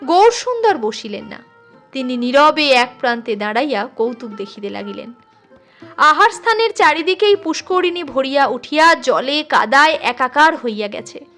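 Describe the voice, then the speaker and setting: Woman, very high voice, expressive story narration, deliberate delivery, instrumental music behind. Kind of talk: scripted literary story narration. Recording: music under the voice.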